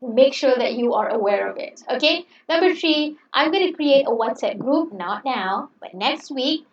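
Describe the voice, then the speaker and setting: Woman teacher, non-native English, fairly high voice, encouraging and chatty, recorded in a roomy space with muffled sound. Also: lively intonation, normal speed. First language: not English. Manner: encouraging, chatty, repeats "okay". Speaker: English lecturer. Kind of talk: online class introduction. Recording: roomy, distant, dull sound.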